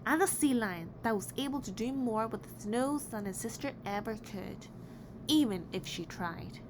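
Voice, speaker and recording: A woman reading a children's picture book aloud in an expressive, deliberate storytelling way. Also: a little high. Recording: clearly noisy background.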